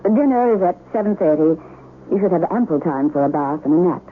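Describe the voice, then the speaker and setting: An actress playing a mysterious, genteel lady of a remote mansion in a 1970s radio drama, formal, measured and enigmatic. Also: lowish voice, animated, natural voice. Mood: commanding. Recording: tinny, hissy 1970s radio broadcast.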